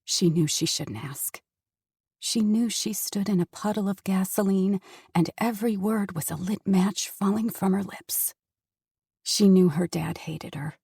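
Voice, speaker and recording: Female audiobook narrator, mid-pitched, husky tone, dry studio booth. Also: unhurried, mellow, no room sound. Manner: intense, dramatic storytelling. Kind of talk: scripted narration. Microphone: close studio mic.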